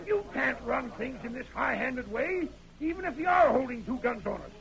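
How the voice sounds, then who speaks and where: An actor playing a blustering, gruff Old West judge in an old-time radio Western, loud and commanding. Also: male voice, very high-pitched, moderate pace, exaggerated voice, poor audio. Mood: exasperated, commanding. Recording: vintage radio recording with music bleed.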